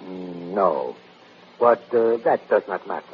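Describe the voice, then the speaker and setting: A sinister, scheming doctor of old-time radio drama, formal and insinuating as he persuades. His voice is on the low side, heard through a muffled, noisy vintage recording. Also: expressive, slow pace. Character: sinister scheming male doctor, adventurer and former spy. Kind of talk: 1930s radio drama acting.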